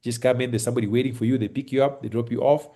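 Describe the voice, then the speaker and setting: Kenyan guy on a video call, easygoing and reassuring, lots of uhs, mid pitch. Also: very fast. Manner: relaxed and reassuring, with many fillers and restarts. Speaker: host advising on moving to Kenya. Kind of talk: casual advice chat on a call. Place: home in Kenya.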